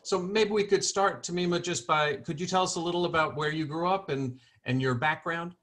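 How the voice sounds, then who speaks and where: A man's voice: a little high, a little fast, a bit bright. A man hosting an online museum program who speaks in an earnest way, often restarting his questions. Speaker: host. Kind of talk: formal welcome and interview. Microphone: video call.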